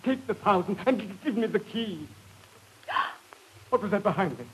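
High, stammering cowardly gentleman. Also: animated, moderate pace, a little hiss or hum, low-quality recording. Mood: panicked pleading. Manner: stammering, spluttering, panicky. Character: cowardly, flustered, pleading rich gentleman. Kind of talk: 1950s radio acting.

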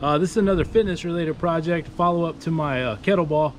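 A man's voice: on the high side, fast talker, slight background noise. A man in his thirties hosting a casual DIY video outdoors in a backyard, talking loosely to viewers with lots of "uh" fillers. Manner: relaxed, many "uh" fillers, addresses viewers as guys. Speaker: DIY channel host. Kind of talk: casual DIY vlog talk. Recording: music under the voice.

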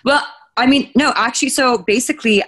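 A woman's voice: high voice, a little fast. Chatty woman from Sri Lanka on a video call. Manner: chatty, restarts often, animated. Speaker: podcast guest. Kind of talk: casual chat. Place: home room, indoors. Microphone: video call with headphones.

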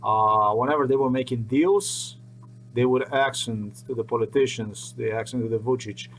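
A man's voice: medium pitch, moderate pace, a little hiss or hum, poor audio. A middle-aged man, rambling earnestly and repeating himself as he talks to his webcam in a small room. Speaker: vlogger. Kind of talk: unscripted monologue to camera. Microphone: webcam at desk.

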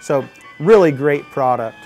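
A man's voice: mid-range voice, lively intonation, unhurried, darkish tone, soft background music. A man in his forties, a shop tech, giving a casual product demo in a parts shop, upbeat with lots of "you know" fillers. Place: shop set with shelves of parts.

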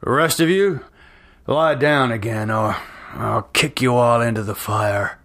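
A man voicing a gruff, commanding captain of an Old West party, firm and authoritative, in a storybook reading. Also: mid-range voice, unhurried, a bit bright.

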